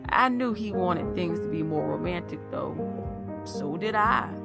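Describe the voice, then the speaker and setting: A woman's voice: lowish voice, lively intonation, unhurried, gravelly, over loud music. A woman playing a folksy older country lady who wryly recounts her childhood in an audio drama. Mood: wry, rueful. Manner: folksy, wry storytelling.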